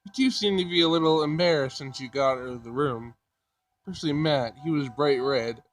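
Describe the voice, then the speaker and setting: A man's voice: on the high side, a little fast, close to an everyday voice. Visual novel role: prying, playful young woman performed by a man, teasing her friend.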